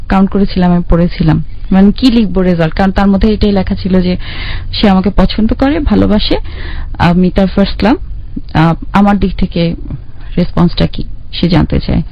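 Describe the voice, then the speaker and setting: A woman talks through her own life story in a relaxed interview. She hesitates often, trails off and restarts her sentences. The recording sounds dull and lo-fi. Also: mid-range voice, moderate pace. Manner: hesitant, many fillers and restarts.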